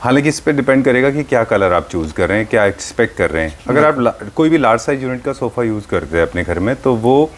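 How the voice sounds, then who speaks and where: An Indian man with a mid-pitched voice hosts a showcase in a conversational way. He moves between Hindi and English, putting questions to his guest and turning to explain things straight to the viewers. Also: animated, fast talker, hardly any pauses. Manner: mixes Hindi and English, addresses viewers directly. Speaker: host of a furniture showcase show.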